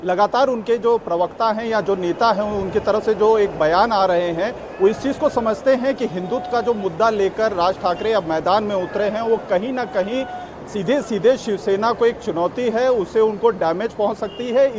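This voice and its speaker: High-pitched man, halting live correspondent, faint outdoor traffic noise. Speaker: news correspondent. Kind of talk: live unscripted political news report.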